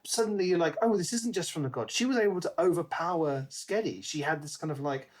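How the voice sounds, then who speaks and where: A man's voice: fairly high pitch, quick pace. Man chatting casually about a book. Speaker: podcast co-host.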